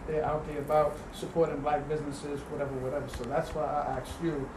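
A man's voice: a little high, little pitch movement, a little fast. A man co-hosting a casual discussion, asking probing questions and explaining his view at length. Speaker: podcast co-host. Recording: echoey room with audible noise.

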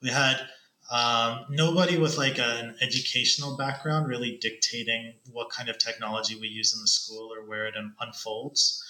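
A man's voice: mid-range voice, level tone, normal speed, bright, forceful tone. A man with a Canadian accent telling stories casually as a podcast guest. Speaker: podcast guest, ed tech consultant. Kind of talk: casual conversational storytelling. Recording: roomy, echoing sound.

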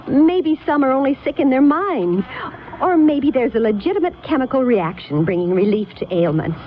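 A spirited young woman in a 1970s radio drama. Her pitch moves animatedly as she protests with dramatic, sardonic doubt. The recording is dull and lo-fi. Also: animated, normal speed, a little hiss or hum. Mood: frustrated, defiant. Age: young adult. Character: spirited, skeptical young woman, reluctant sorcerer's apprentice.

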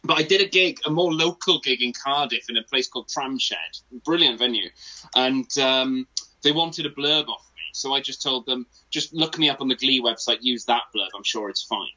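A man's voice: medium pitch, moderate pace, bright, forceful tone. A man with a Welsh accent, a native Welsh speaker, chatting as a self-deprecating guest comedian. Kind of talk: casual anecdotal chat. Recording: dull online call audio.

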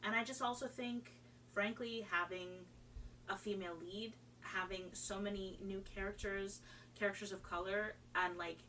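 A woman's voice: medium pitch, fairly even intonation, normal speed, sharp tone. A woman from Trinidad who moved to America as a child, chatting casually as a guest in an enthusiastic, rambling way that often restarts mid-thought. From Trinidad, moved to America at age five. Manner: rambling, enthusiastic, self-interrupting. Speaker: podcast guest. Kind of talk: casual chat about fandom. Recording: distant mic, faint noise and hum.

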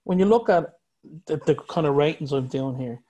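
A man with a fairly high speaking voice hosts a casual podcast chat. He weighs his opinions calmly and agrees readily with his co-host. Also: normal speed, lo-fi sound. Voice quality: higher singing voice. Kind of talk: casual music review chat.

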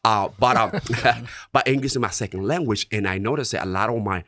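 Earnest Dominican-accented man, deep voice. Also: fairly quick, a bit bright, no room sound, studio quality. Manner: earnest, repeats "right?" often. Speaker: insurance agency owner. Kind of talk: podcast interview.

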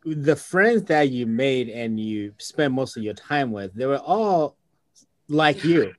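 A man's voice: medium pitch, expressive, slow pace, no room sound. A man interviewing a guest, steering her with leading questions and laughing asides. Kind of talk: conversational interview.

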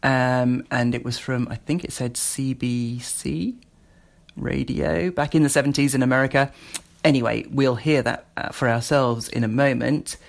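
Male British presenter, mid-pitched, casual demo style, hesitant, informal rambling. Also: steady tone, moderate pace, creaky. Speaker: presenter demonstrating a voice assistant skill. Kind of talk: casual spoken demonstration.

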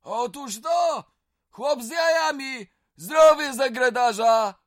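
An actor playing a boisterous, rustic village drinking pal in an audio drama, loud and hearty. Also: male voice, extremely high pitch, slow pace, no room sound, clean studio sound. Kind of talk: Polish audio drama acting.

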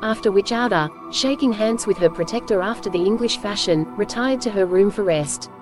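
A woman reading scripted storybook narration as host, with measured, clear phrasing. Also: medium pitch, music in the background.

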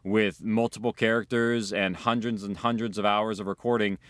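A man's voice: on the low side, fairly even intonation, normal speed, a bit bright, no echo, clean studio sound. A man explaining how an audio drama is produced, in a documentary interview style.

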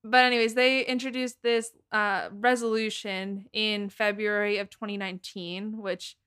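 Smooth-voiced young American woman. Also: medium pitch, level tone, normal speed, a bit bright. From Massachusetts, United States. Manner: conversational, informal, frequent "like". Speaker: podcast co-host. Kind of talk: casual political podcast chat.

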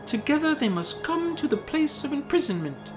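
A narrator voicing a solemn woman mystic in a storybook fantasy, portentous and measured. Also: high-pitched, big pitch swings, music in the background, voice pushed up. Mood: solemn, prophetic. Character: high, solemn woman mystic guide pronouncing prophecy.

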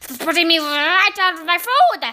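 A tyrannical storybook witch queen. She is imperious and full of loathing, with a sharp, forceful voice. Her words stretch out menacingly and swing widely in pitch. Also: animated, exaggerated voice. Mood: enraged, screaming. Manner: imperious, shrieking, drawn-out menacing delivery. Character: furious, tyrannical Grand High Witch who loathes children.

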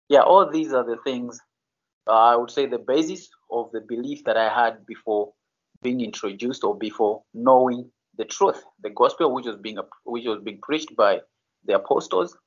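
A Malawian pastor answering interview questions slowly and hesitantly, with many fillers and restarts. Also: male voice, mid-range voice, lo-fi sound.

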